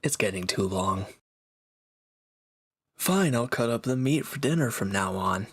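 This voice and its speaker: A man playing a proud, teasing reformed villain and swordsman in an intimate audio roleplay, talking cockily to one listener in a gravelly voice. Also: fairly low pitch, lively intonation, unhurried, no room sound, studio quality, full character voice. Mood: defensive, dry.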